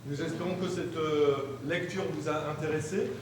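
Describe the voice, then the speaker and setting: A man on stage reading grammar examples and old texts aloud in a deliberate, declamatory way, in a live performance. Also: fairly high pitch, fairly even intonation, background noise. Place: large hall, live performance. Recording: echoey hall from far off.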